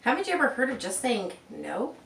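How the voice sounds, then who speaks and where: A woman's voice: a little high, sing-song, fairly quick, creaky, a bit bright, voice pushed up, heavily acted. A woman voicing a meddling, know-it-all neighbour lady in a children's storybook, with bossy, pointed delivery. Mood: bossy, nosy. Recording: roomy, distant mic with faint background noise.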